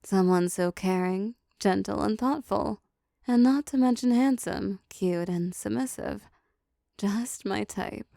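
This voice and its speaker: A woman voicing a possessive, seductive female demon in an intimate audio roleplay, softly teasing, dominant and doting. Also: medium pitch, slow pace, creaky, no echo, studio quality.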